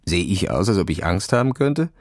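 A man's voice: low voice, sing-song, a little fast, no echo, clean studio sound. A man voicing a cocky, condescending boy who brags in casual slang, in a children's audio story. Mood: boastful. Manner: bragging, sneering, casual youth slang.

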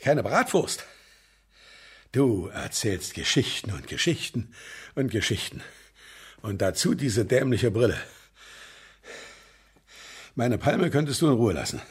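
A man playing a grumpy, suspicious older man in a children's radio play, gruff and scolding, with a raspy voice. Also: medium pitch, very animated, slow, long pauses, exaggerated voice. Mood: grumbling. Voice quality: husky, raspy.

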